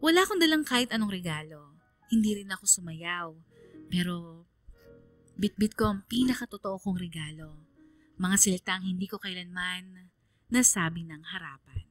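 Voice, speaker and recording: A woman's voice: lowish voice, animated, moderate pace, pauses often, close to an everyday voice. An actress playing a reflective, shy female student who tells her story as radio drama narration. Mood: tender, reflective. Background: music under the voice.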